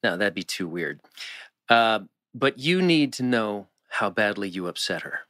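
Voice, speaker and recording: A man playing an earnest, laconic, protective teenage boy in a drama table read, understated and halting. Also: lowish voice, big pitch swings, sharp tone, no echo, clean studio sound, heavily acted. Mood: earnest, firm.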